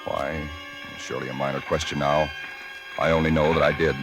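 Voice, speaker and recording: An actor playing a frightened man waiting alone in an empty house, telling his story in a hushed, haunted voice. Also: extremely low pitch, level tone, a little fast. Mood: dread. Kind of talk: 1940s radio drama narration. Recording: 1940s radio broadcast with loud music. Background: music score.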